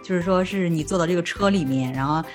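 A woman's voice: lowish voice, fast, soft background music. A young woman from China hosting a language podcast, switching between Chinese and English with a Chinese accent, friendly and full of mm-hmms. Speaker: host. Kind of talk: bilingual language-learning podcast.

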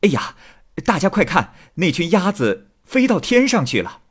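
A man's voice: medium pitch, lively intonation, normal speed, husky. A man voicing a loyal, earnest husband in a classical tale, with emotional declamation. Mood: urgent shouting.

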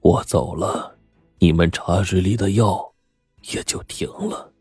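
A man voicing a remorseful, weary household steward in an audiobook, hushed and husky, with heavy resignation. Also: low voice, slow pace, no room sound, soft background music, exaggerated voice. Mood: resigned, bleak. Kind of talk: audiobook character acting.

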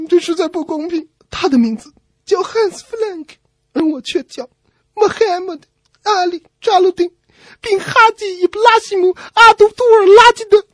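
Bawling little schoolboy, really high and whiny, indignant, radio comedy. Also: male voice, slow, dry recording. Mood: crying, indignant. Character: sobbing schoolboy protesting unfair punishment.

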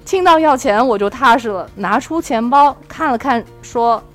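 A woman hosting a podcast, moving between casual chat and playful story narration in a giggly, animated way. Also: a little high, normal speed, soft background music. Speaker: podcast host.

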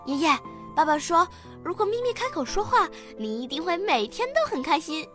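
A woman playing a bright, eager, caring young granddaughter with girlish expressiveness in a radio drama. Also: very high-pitched, sing-song, normal speed, over music.

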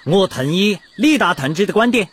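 An actor playing an earnest male revolutionary delegate in a historical radio drama, speaking in a measured, declamatory way. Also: high-pitched, lively intonation, fairly bright tone, husky, heavily acted. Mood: earnest, resolute.

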